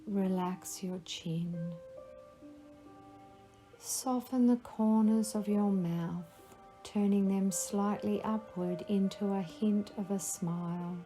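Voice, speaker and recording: A woman guiding a relaxation meditation, giving slow, soothing instructions. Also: medium pitch, fairly even intonation, warm tone. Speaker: meditation guide. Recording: faint music behind the voice.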